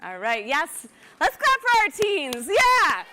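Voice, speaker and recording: A woman's voice: high voice, wildly swooping pitch, takes it slowly, background noise. A woman hosts a live convention in a ballroom, hyping the crowd and reading out announcements in an upbeat way. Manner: upbeat, hypes the crowd, laughs easily. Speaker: convention organizer and host. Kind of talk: live event hosting and announcements. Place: large ballroom.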